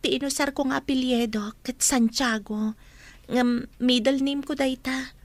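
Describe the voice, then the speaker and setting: High female voice, acted gentle young runaway, humble, earnest, guarded, audio drama. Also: fairly even intonation, unhurried, dry recording. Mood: guarded, pleading. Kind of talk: Ilocano audio drama acting.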